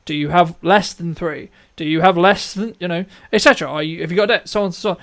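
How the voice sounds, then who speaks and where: A man's voice: high-pitched, quick pace, a bit bright. A man hosting an interview and advising like a coach, emphatic and repetitive, often checking that the listener follows. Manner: emphatic, repetitive, punctuates points with checking tags. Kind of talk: conversational interview, advising viewers.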